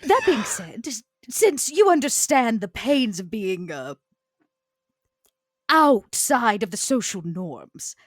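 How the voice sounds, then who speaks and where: A woman playing a poised, probing vampire outsider, speaking in a deliberate, wryly formal, coaxing way in a roleplaying game. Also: fairly high pitch, big pitch swings, no room sound, clean studio sound, exaggerated voice. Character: poised, probing, socially outcast female Toreador vampire. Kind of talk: improvised in-character roleplay.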